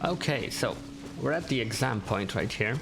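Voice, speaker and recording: Very low, creaky-voiced woman gamer. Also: animated, normal speed, low-quality recording. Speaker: gaming streamer. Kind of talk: casual gameplay commentary. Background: game music.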